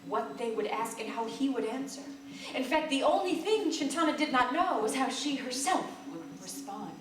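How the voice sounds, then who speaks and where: A woman giving a theatrical, measured reading of a literary story as one voice in a stage ensemble. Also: high-pitched, lively intonation, noisy background. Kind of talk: dramatic literary storytelling, scripted narration. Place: theatre stage, live performance. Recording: echoey live theatre, distant, music behind. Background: music and sound design.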